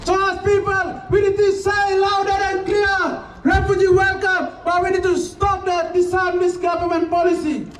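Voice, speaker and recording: A man from West Papua shouts an impassioned speech at a street protest, his voice very high and held almost on one note. He is heard from a distance through a roomy, echoing sound with faint noise behind. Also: level tone, moderate pace, few pauses. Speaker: protest speaker. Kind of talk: rally speech. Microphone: handheld microphone on speakers.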